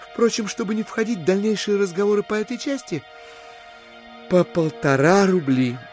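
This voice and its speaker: An actor playing a smooth, fussily polite gentleman schemer in an audio drama, with a mannered, ingratiating delivery. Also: high-pitched, normal speed, soft background music, natural voice. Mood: wheedling. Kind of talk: theatrical audio drama acting in Russian classic.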